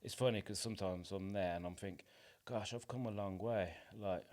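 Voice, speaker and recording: Male podcast guest, low register, open and encouraging, relaxed conversational delivery about personal experience. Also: moderate pace. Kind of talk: casual personal interview chat.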